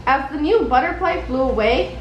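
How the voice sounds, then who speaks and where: A woman's voice: sits high, expressive, normal speed. A woman reading a children's bedtime story aloud from a script. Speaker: storybook reader. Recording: dull, roomy, faint background noise.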